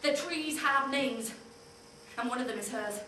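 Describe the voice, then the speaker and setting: An actress playing a woman who leaves a low, urgent, secretive message. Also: measured pace, bright, forceful tone, echoey room, far from the mic, slight background noise. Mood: conspiratorial. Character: hushed, urgent conspiratorial woman caller.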